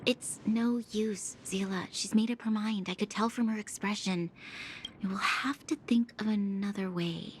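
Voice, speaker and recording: Woman doing a calm level-headed lady, mid voice, ambient noise in the mix. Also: mid-range voice, unhurried, close to an everyday voice. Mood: resigned. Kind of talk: video game voice acting. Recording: game audio with clear ambient noise.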